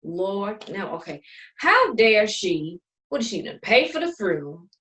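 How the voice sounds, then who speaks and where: A woman's voice: mid-range voice, big pitch swings, measured pace, fairly bright tone. A woman playing an exasperated, sarcastic eldest sister, bossy and condescending with her endearments, in a dramatic play reading. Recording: distant from the microphone.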